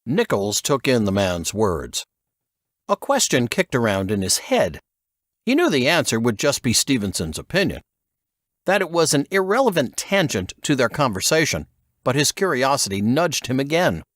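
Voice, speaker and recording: A man's voice: mid-range voice, big pitch swings, normal speed, fairly bright tone, dry recording, clean studio sound. A man reading a scripted work of fiction as an audiobook narrator.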